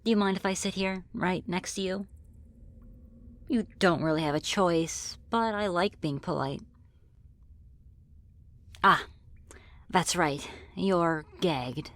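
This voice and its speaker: Woman playing a lower-voiced, teasing friendly demon. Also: takes it slowly, pauses often, fairly bright tone. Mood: relaxed, curious. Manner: casual, teasing, politely menacing. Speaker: roleplay voice performer. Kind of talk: ASMR roleplay, scripted one-sided dialogue.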